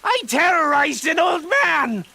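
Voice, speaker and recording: An actor playing a manic, theatrical supervillain clown in a comic dub, unhinged and swinging between shouts and mutters. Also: male voice, very high-pitched, animated, unhurried, creaky, slight background noise, exaggerated voice. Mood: bitter, goading. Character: manic, theatrical middle-aged male supervillain clown madman.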